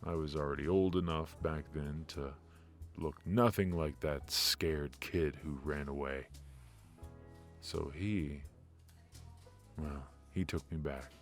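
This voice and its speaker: Very deep, slow-spoken mafia boss played by a man. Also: fairly even intonation, soft background music, heavily acted. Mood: reflective, subdued. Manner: measured, hesitant pauses, intimate, smug. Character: suave ruthless male mafia boss, weary and confiding. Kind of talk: noir ASMR roleplay monologue.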